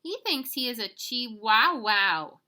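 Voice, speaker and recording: A silly little sister kitten from a storybook voiced by a woman. She sounds high, bright and childlike, teasing in a swooping singsong. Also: big pitch swings, slow pace, full character voice.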